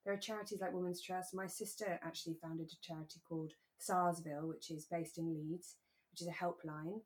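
Lower-pitched woman podcast host. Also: level tone, a little fast, rough recording. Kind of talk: relaxed discussion of a radio drama.

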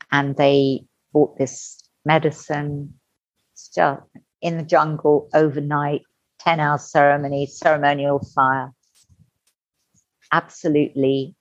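Middle-aged woman, low voice, candid storyteller, dull dry lo-fi sound. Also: slow, no room sound. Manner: candid, animated, acts out quoted voices. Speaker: podcast guest. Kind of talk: personal storytelling interview.

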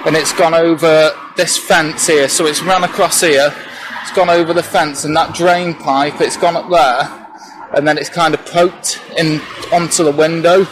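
A young man from England, a witness recounting his experience in a halting, hesitant way, outdoors on a residential street. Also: a little high, fairly even intonation. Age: young adult. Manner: hesitant, halting, many fillers. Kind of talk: casual first-hand recounting. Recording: noisy outdoor ambience.

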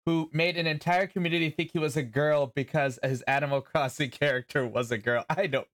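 A man's voice: a little high, fairly even intonation, fairly quick, a bit bright. A man who streams, talking in a casual, rambling chat and often breaking off his own sentences. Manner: rambling, self-interrupting. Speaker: streamer, podcast host.

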